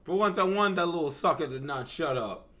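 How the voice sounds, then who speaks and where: A man's voice: on the high side, lively intonation, a little fast, bright, forceful tone. A man giving casual live gaming commentary as a streamer, slangy and exclamatory. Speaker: streamer reacting to a game.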